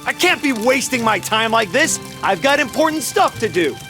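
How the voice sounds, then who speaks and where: An actor playing a brash, hot-headed but caring young ninja in an anime-style video game, blunt and emphatic. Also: male voice, very high voice, big pitch swings, normal speed, over music. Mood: scolding. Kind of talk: video game voice acting in anime dub manner.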